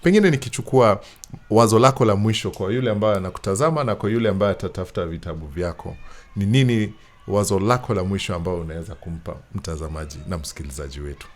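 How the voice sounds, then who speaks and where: Low-voiced Kenyan man hosting conversationally. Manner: halting, searching for words with repetitions. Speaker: show host. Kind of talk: conversational interview hosting, mixing Swahili and English.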